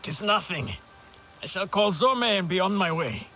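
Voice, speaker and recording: Proud alien warrior, notably high voice, solemn poetic lines, video game drama over music. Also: male voice, big pitch swings, measured pace, sharp tone, full character voice. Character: proud, anguished Na'vi hunter, devoted alien rider.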